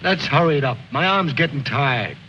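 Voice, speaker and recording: An actor playing a gruff, cynical soldier who grumbles and needles sarcastically in old film drama style. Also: male voice, fairly high pitch, animated, moderate pace, lightly acted. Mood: sardonic, impatient. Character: gruff, sardonic, cynical male soldier named Mac. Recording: dull, noisy old film soundtrack.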